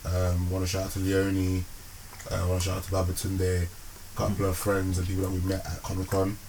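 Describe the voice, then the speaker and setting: Relaxed male podcast host, lowish voice, faint noise behind. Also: brisk, rough recording. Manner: relaxed, laughs often, filler-heavy. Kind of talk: casual podcast chat.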